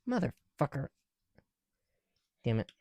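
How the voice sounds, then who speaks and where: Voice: medium pitch, very animated, slow, warm tone. A person talking casually to themselves in a rambling ASMR style while working with their hands, informal and prone to swearing. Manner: informal, muttering to self, sweary. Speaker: ASMR video creator.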